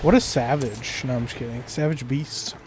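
A man's voice: medium pitch, steady tone, normal speed, very noisy. A man in casual, slangy gameplay chat, joking as he goes with his co-op partner. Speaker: gaming streamer, co-op player.

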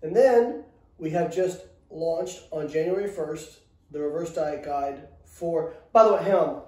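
Guy in his 30s, highish voice, cussing and ranting, echoey far off sound. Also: unhurried, warm tone. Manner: profane, ranting, sarcastic. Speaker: co-host, coaching business owner. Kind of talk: casual chat. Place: living room on a sofa. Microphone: far camera.

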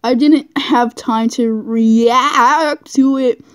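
A woman's voice: a little high, fairly even intonation, very slow, smooth, no room sound, clean studio sound. A woman doing a casual, goofy read-aloud of fan fiction, giggly and often stumbling over her words. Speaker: amateur reader of fan fiction.

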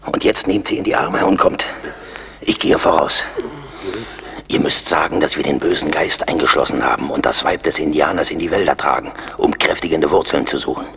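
A man's voice: a bass voice, normal speed, creaky, a bit bright, slight background noise, full character voice. A man playing a seasoned, loyal and wry frontier scout in a German radio play. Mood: urgent, hushed.

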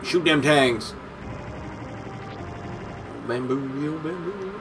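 A man playing a video game with friends, chatty and prone to excited exclamations. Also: fairly high pitch, extremely slow, noisy background. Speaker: let's play player. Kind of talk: casual gaming banter.

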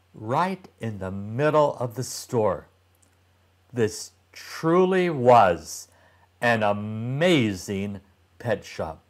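An older man reads a children's storybook aloud at a very slow pace. His pitch sits in the middle for a man, and he places each phrase deliberately, with expressive emphasis for young listeners.

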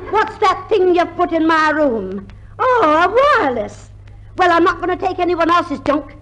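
An actress playing a suspicious, sharp-tongued older sister who scolds bluntly in a 1950s radio comedy. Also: female voice, very high voice, lively intonation, moderate pace, slight background noise, rough recording. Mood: scolding, suspicious.

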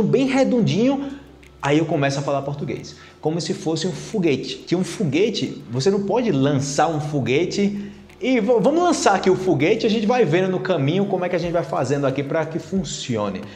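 Brazilian man in his thirties, chatty video teacher, higher voice, lapel mic in an echoey room. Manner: animated, gesturing a lot with his hands; chatty, with many tag questions. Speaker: Portuguese teacher. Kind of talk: casual video lesson to camera. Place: plain-walled room indoors.

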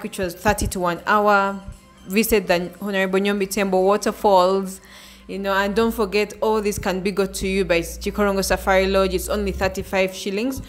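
A young woman hosting her travel channel, chatty and upbeat, talking straight to viewers on a selfie phone outdoors. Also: mid-range voice. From Uganda. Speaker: travel vlogger, channel host. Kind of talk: travel vlog to camera. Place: outdoors by a waterfall. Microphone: selfie phone at arm's length.

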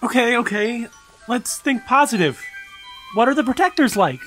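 A woman's voice: on the high side, lively intonation, moderate pace, faint music behind, lightly acted. A woman playing an easygoing, wide-eyed, protective young companion in a naturalistic audio drama. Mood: amazed, teasing.

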